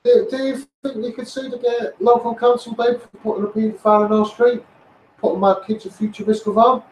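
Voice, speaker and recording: A man's voice: very high voice, level tone, brisk, a little mellow, a little hiss or hum. A man with a Scouse accent on a rambling, impassioned livestream rant, insistently addressing viewers and repeating himself. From Liverpool area, England. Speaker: online campaigner livestreaming. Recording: echoey room, distant microphone.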